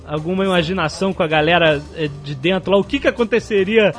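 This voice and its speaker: A man's voice: high-pitched, fast talker, a bit bright, soft background music. A Brazilian man hosting a podcast, chatting casually and agreeing readily in an informal, easily amused manner. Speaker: podcast host interviewing a guest. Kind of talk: casual chat.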